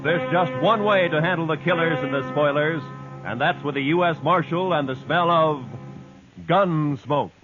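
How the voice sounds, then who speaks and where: A man announcing an old radio western in a dramatic, deliberate manner. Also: fairly high pitch, muffled recording, over loud music.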